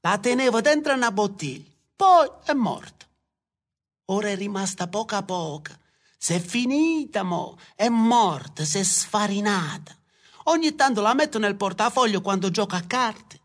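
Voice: medium pitch, expressive, measured pace. A speaker telling a casual personal anecdote in short, repeated phrases, as if confiding.

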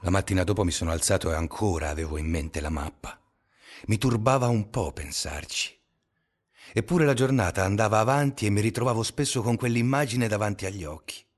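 An actor playing a brooding schoolteacher, narrating and talking insistently in a colloquial way in a radio drama. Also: male voice, lowish voice, a little fast, close to an everyday voice. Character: brooding male schoolteacher fixated on a pupil's mistake.

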